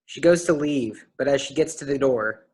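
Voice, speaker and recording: A stage directions reader at a staged play reading. The voice sits at a medium pitch and delivers scripted narration of the characters' movements. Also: normal speed, a little mellow, husky.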